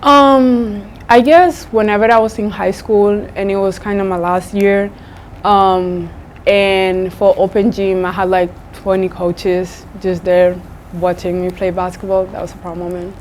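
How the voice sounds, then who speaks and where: A woman's voice: mid-range voice, little pitch movement, a little slow. A woman in her early twenties from Spain answers interview questions casually in English, which is not her first language. Her phrasing is loose and informal, with a little ambient noise behind her. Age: early 20s. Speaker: student basketball player.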